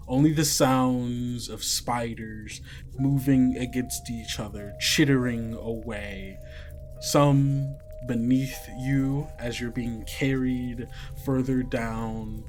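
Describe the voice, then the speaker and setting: A man hosting and narrating a tabletop podcast as game master, informal and halting but descriptive. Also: medium pitch, darkish tone.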